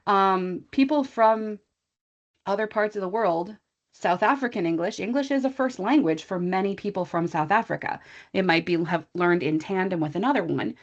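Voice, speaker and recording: An American woman teacher talks through a training session in a loose, chatty way, tossing in asides as she goes. Her voice sits at a middling pitch for a woman. The sound comes through a slightly dull, lo-fi online call. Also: normal speed. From USA. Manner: chatty and informal, thinking aloud with asides to the audience. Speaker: English teacher presenting a training webinar. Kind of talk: conversational online presentation.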